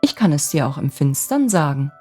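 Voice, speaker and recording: A woman as ardent young suitor, cheerful, eager, wheedling, animated intonation, storybook romance. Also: low-pitched, brisk, soft background music. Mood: teasing. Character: ardent, cheerful young draftsman courting his sweetheart.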